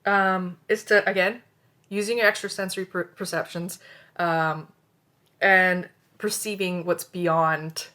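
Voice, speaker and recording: A woman's voice: fairly low pitch, fairly even intonation, measured pace, sharp tone. A middle-aged woman from Canada whose first language is Hindi, teaching about psychic senses in a loose, rambling way, with lots of fillers, restarts and tag questions. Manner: rambling, many fillers and restarts, frequent "right?". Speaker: spiritual teacher. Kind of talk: casual spoken teaching.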